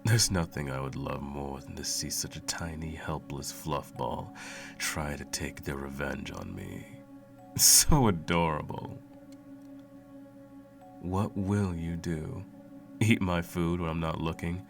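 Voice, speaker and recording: A man playing a sadistic sorcerer in an ASMR roleplay, cooing mockingly and condescendingly at a captive pet in a low gravelly murmur. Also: slow pace, over music, full character voice. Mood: cruel amusement. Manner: teasing, cooing, condescending, theatrical.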